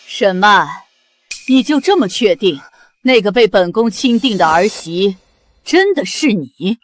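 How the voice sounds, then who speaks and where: A woman's voice: medium pitch, lively intonation, a little slow, soft background music, natural voice. A woman voicing an imperious queen mother of the gods in a costume drama, commanding and scornful. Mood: haughty. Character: imperious divine queen mother, haughty and wrathful. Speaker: divine empress mother.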